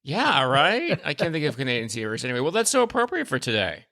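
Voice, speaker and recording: Male podcast co-host, film historian type; slightly high, very animated, playful. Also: vocal fry, dry recording, studio quality. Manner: playful, expressive, quick to react. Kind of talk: casual podcast chat.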